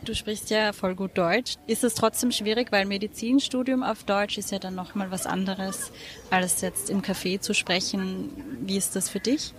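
A woman hosting a radio programme, reading a measured scripted introduction and interviewing a guest. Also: mid-range voice, steady tone, slight background noise. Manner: clear, measured delivery.

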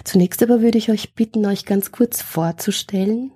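A woman hosting a live radio show from the studio, announcing in a measured, formal way. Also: mid-range voice, steady tone, dark tone, slightly rough, no echo. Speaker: radio host. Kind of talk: radio moderation. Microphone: studio microphone.

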